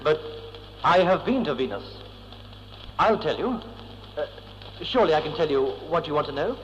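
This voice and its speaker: A sober, wary space traveller in an old radio serial. His mid-pitched voice is serious and theatrical, heard on a muffled, faintly hissy tape with long reverb. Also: sing-song, moderate pace. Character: sober, wary male spaceman held captive. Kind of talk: vintage science-fiction radio drama acting.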